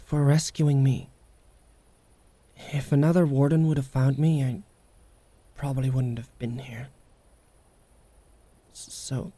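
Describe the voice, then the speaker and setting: Breathy, guarded tsundere wolfgirl, acted by a woman. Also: extremely low pitch, full character voice. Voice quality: breathy, often dropping into whispers. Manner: halting, guarded, softly emotional. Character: tsundere wolfgirl, prickly, vulnerable, secretly tender young woman. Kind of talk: intimate ASMR roleplay acting.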